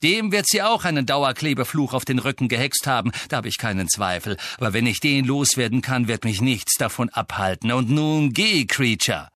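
A man voicing a gruff, irritable master of a house, curt and commanding in his manner. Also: medium pitch, expressive, a little fast, hardly any pauses, fairly bright tone, dry recording, clean studio sound, voice pushed up, close to an everyday voice. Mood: irritated, scornful.

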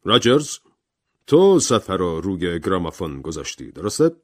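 A man performs a shrewd judge in an audio story. He is composed and authoritative, putting his questions in a measured way. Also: lowish voice, huge pitch swings, darkish tone, no room sound, clean studio sound, pitched above the performer's own voice, heavily acted. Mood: firm.

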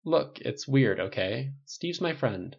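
A man's voice: medium pitch, animated, takes it slowly, creaky, a little mellow, husky, pitched above the performer's own voice, exaggerated voice. A man voicing a prickly, defensive man who answers curtly. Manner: curt, snappish. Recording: dull lo-fi recording.

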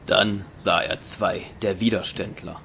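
A man's voice: low-pitched, fairly even intonation, a little slow, gravelly, sharp tone, a little hiss or hum. A man narrating a scripted audio drama as storyteller.

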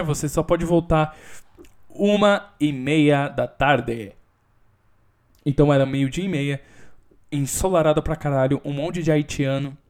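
A young man with a Brazilian Portuguese accent, hosting a casual solo podcast from home, telling a story with lots of swearing and sudden loud outbursts. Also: fairly high pitch. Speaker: podcast host.

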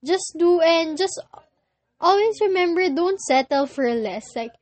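A young woman with a Filipino accent, whose first language is Filipino, hosting a casual solo podcast and often pausing to search for words. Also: very high-pitched, expressive, darkish tone, no room sound. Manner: hesitant, searching for words with many pauses. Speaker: podcast host.

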